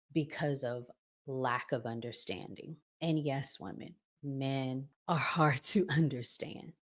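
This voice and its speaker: A middle-aged woman with a deep voice speaks slowly and deliberately. She sounds like a podcast host giving informal advice straight to other women, leaning on key words with a touch of preacher-like phrasing.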